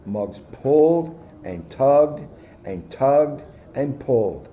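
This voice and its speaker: A man, a police officer, reading a children's storybook aloud with lively asides. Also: mid-range voice, slow, narrow, tinny audio, a little hiss or hum.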